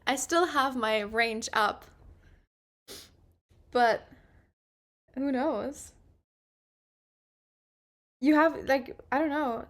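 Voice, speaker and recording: A woman's voice: sits high, extremely slow, pauses often, a bit bright. A woman in her early twenties chatting casually with her stream while doing her makeup, rambling with lots of filler words. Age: 20s. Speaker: livestreamer.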